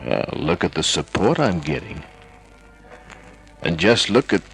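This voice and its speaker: An actor playing a wry, flirtatious American private detective with a world-weary manner, in the style of 1950s radio noir. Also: male voice, sits low, big pitch swings, unhurried, fairly bright tone, heavily acted, rough recording. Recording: old radio drama recording with music.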